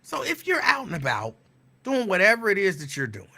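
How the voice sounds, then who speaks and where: Bright-voiced man chatting casually. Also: sits high, breathy edge. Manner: relaxed, rambling, drawn-out repeats. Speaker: show host. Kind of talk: casual live-stream chat.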